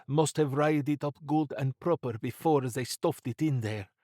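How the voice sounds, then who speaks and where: A man voicing a commanding woman secret agent in an audiobook, clipped, forceful and wry. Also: mid-range voice, moderate pace, no echo, studio quality, voice pushed up, close to an everyday voice. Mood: brisk, wry.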